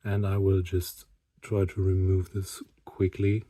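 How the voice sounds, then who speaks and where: A man giving an unscripted hobby product review, speaking haltingly with pauses in mid-sentence. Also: deep voice, monotone, warm tone.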